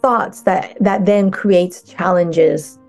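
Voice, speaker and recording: A woman speaking as a guest coach in a casual interview, talking in halting bursts with many restarts. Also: lowish voice, steady tone, a little mellow, soft background music.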